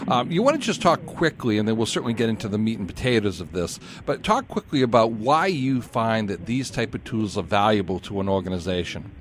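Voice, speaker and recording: A man hosting an interview show, introducing guests and asking them questions in a lively, sing-song way. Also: fairly low pitch, fairly quick, hardly any pauses, a little hiss or hum. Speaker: radio show host. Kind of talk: hosted business interview.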